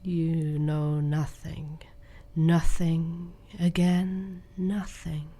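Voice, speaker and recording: A woman voicing a nervous, brooding woman who speaks in a slow, dark whisper in an amateur radio drama. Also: low-pitched, little pitch movement, pitched below the performer's own voice, full character voice. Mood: ominous.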